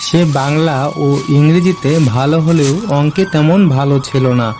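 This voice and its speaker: A man's voice: a little high, normal speed, over music. A man narrating a scripted children's cartoon story.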